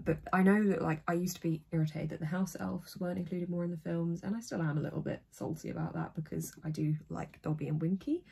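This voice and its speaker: A young woman with a low, soothing voice, chatting self-deprecatingly to camera from her bedroom. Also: gravelly, dark tone, breathy edge. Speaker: book vlogger. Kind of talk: casual chat to camera. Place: bright bedroom with bookshelves, indoors. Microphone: phone or camera at arm's length.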